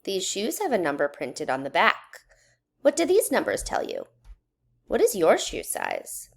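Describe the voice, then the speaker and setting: A woman reading a children's educational book aloud in a measured, instructional way, putting questions to young listeners. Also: medium pitch, wildly swooping pitch, vocal fry. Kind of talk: children's read-aloud narration.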